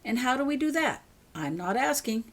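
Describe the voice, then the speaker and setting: A woman's voice: high-pitched, very animated, moderate pace, voice pushed up, full character voice. Reluctant older brother for an audiobook, voiced by a woman: schoolboy, guarded, terse, stubbornly loyal. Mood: guarded, skeptical.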